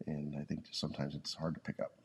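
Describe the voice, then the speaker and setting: A man co-hosting a podcast in casual conversation, with a very deep, gravelly voice, speaking haltingly and thoughtfully, lying on a yoga studio floor close to the mic. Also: monotone, a little hiss or hum. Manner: halting, restarts often, thoughtful. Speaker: podcast co-host. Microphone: podcast mic, leaning in close.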